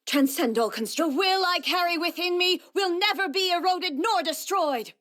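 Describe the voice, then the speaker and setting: A woman's voice: very high-pitched, animated, moderate pace, a bit bright. A woman playing a solemn, resolute immortal warrior guardian in a video game, speaking in formal, stately declarations. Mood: fierce, commanding. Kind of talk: video game fantasy voice acting.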